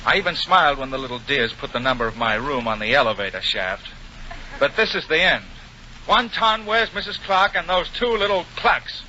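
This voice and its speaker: An actor playing a hot-tempered, sarcastic bachelor with a bossy manner in a 1940s radio comedy. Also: male voice, a little high, big pitch swings, moderate pace, pushed and bright, exaggerated voice. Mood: exasperated. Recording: noisy, muffled 1940 radio broadcast.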